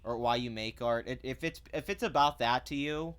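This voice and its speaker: A man's voice: mid-range voice, moderate pace, fairly bright tone, smooth. Sarcastic, blunt man ranting. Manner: stumbling restarts when heated. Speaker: commentary vlogger. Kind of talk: ranting commentary.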